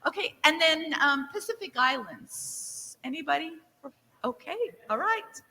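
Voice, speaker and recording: A woman director giving lively welcome remarks to a conference audience, asking for shows of hands and cheering the answers. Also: high voice, measured pace. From Nevada. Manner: upbeat, engages the crowd with show-of-hands questions. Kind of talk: interactive opening speech to a conference audience. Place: large conference hall. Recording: reverberant hall.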